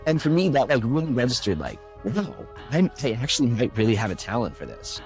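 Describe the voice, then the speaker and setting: A young man, a voiceover artist, chatting in an interview and telling animated stories, acting out the voices of people he quotes. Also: mid-range voice, brisk, faint music behind. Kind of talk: casual interview chat.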